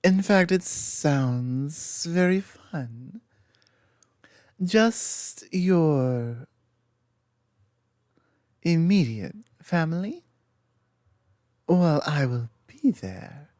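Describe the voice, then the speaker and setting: Woman acting a slow, low-voiced loving lamia girlfriend. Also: animated, no echo, studio quality, heavily acted. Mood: eager, warm. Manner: soft, unhurried, hesitant pauses, tender. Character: loving, gently dominant lamia girlfriend, part snake. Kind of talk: ASMR roleplay, intimate one-sided conversation.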